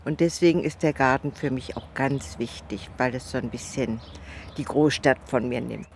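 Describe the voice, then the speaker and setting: Soft-spoken woman in her seventies. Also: very deep voice, moderate pace, vocal fry, slight background noise. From northern Germany. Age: 70s. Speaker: interviewee, retired gardener. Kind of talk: casual interview answers. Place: outdoors in a city allotment garden.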